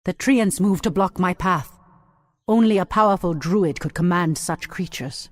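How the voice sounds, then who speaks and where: Woman as low-voiced, regal elf priestess. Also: measured pace, dry recording, close to an everyday voice. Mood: determined. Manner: earnest, regal, formal. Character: proud, determined female elf priestess warrior. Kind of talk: dramatic video game acting.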